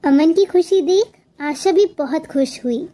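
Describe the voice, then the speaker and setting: A woman's voice: high voice, unhurried, mellow, a little hiss or hum. A woman narrating a scripted moral story as a storyteller.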